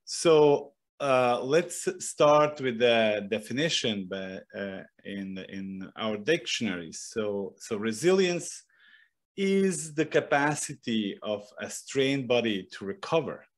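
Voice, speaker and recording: A middle-aged man with a Bosnian accent, giving an informal presentation into a desk microphone in a halting, hesitant way. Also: mid-range voice, animated. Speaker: professor and guest speaker. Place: small indoor room. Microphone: desk broadcast microphone on a boom arm.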